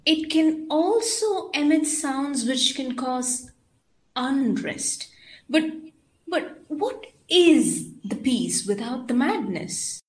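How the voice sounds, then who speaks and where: A woman playing a playful, unhinged female scientist in an audio drama, with a theatrical, deliberate delivery. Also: sits high, lively intonation. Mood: gleeful menace. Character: playful unhinged young woman scientist wielding a tuning fork.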